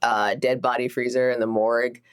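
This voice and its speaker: A tabletop game player chatting casually, with a hesitant, halting delivery. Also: mid-range voice, flat delivery, smooth, no echo, studio quality. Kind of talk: casual chat.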